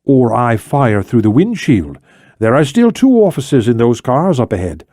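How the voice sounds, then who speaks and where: A man's voice: medium pitch, sing-song, normal speed, warm tone, dry recording, studio quality. A man voicing a determined female operative who holds firm under pressure in a thriller audiobook. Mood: firm, threatening.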